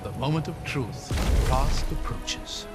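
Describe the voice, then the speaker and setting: An actor playing a regretful, wry travelling companion in a video game cinematic, articulate and formal with dry sarcasm, under music. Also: male voice, medium pitch, animated, slow pace. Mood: wry, uneasy.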